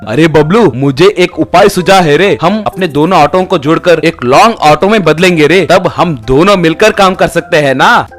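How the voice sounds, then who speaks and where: A man voicing a competitive but friendly young auto-rickshaw driver, eager and insistent, in an animated story. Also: fairly high pitch, moderate pace, soft background music, lightly acted. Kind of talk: animated story character dialogue by narrator.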